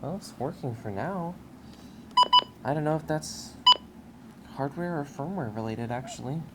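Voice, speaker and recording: Woman, very low pitch, casual hands-on commentary, matter-of-fact, noisy basement recording. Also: lively intonation, unhurried. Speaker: hobbyist video creator.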